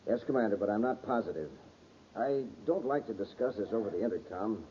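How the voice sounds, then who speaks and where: A man's voice: fairly low pitch, lively intonation, brisk, lightly acted. An actor playing a courteous, agitated scientist in a 1950s radio serial, formal and somewhat hesitant in his speech. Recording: muffled, noisy old-time radio recording.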